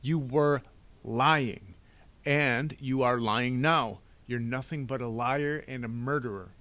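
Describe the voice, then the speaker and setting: A man's voice: fairly low pitch, normal speed, creaky. A man voicing an earnest, bookish young boy in a storybook, emphatic and precise in his speech. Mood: accusing.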